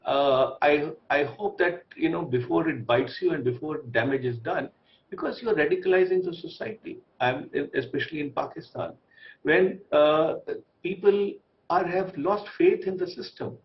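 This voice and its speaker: A man comments as an expert on a news debate. His voice sits a little high and he speaks in halting, deliberate phrases. The sound is slightly muffled. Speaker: TV debate guest, former ambassador.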